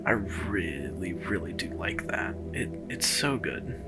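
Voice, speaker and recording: A man with a creaky voice giving casual, somewhat hesitant and rambling commentary over a game playthrough. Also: sits low, expressive, slow, music in the background. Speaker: gaming video host.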